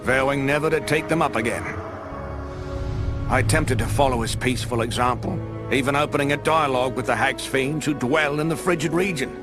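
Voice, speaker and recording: Brief: male actor as a wise old owl mentor in a game, measured and grave, mid-range voice, music behind. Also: medium pitch, close to an everyday voice. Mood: solemn. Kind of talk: game cutscene voice acting.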